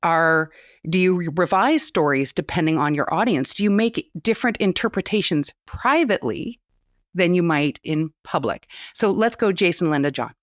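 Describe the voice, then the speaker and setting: A woman's voice: lowish voice, lively intonation, normal speed, no room sound, studio quality. A woman co-hosting an academic podcast, presenting a paper in an earnest, thoughtful way with frequent fillers. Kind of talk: podcast discussion.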